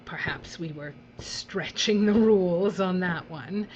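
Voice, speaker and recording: A woman's voice: on the low side, slow pace, a little mellow, lo-fi sound, a little hiss or hum. A woman playing a breezy, callous female television boss in an audio drama, theatrical and drawling, with condescending endearments. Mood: coolly callous.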